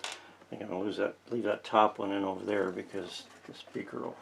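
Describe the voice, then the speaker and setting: A man with a low voice and a gravelly creak talks through hands-on repair work in a casual, folksy way. He thinks aloud as he goes, unscripted, with faint noise behind him. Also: steady tone, fairly quick, rough recording. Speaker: host of a vintage radio repair channel. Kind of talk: casual unscripted hands-on narration while working. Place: indoor workshop.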